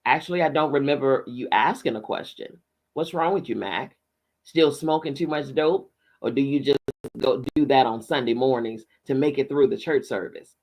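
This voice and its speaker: A man's voice: medium pitch, moderate pace, natural voice. A man voicing a matronly, gossipy small-town postmistress with folksy, bantering talk in a read-aloud story. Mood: teasing.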